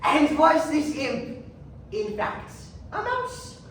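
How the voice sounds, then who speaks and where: A stern witch-trial judge acted by a woman, pompous and zealous, declaiming slowly with big swoops of pitch. It is theatrical stage acting, captured from far off in a very echoey hall. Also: big pitch swings, a little hiss or hum, heavily acted. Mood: stern questioning.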